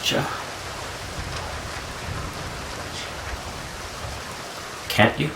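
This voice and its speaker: A man playing a lovesick, impulsive young gentleman in an audio play, impassioned and dramatic. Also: normal speed, natural voice. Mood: wounded, resigned. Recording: roomy echo, faint background hiss.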